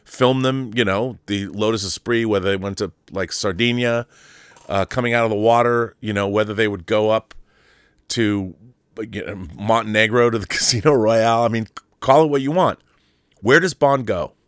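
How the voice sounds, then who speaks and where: A man's voice: lowish voice, normal speed, clear, resonant tone, no room sound. A man hosting a live show in casual chat, rambling with frequent restarts and chuckles. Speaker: host.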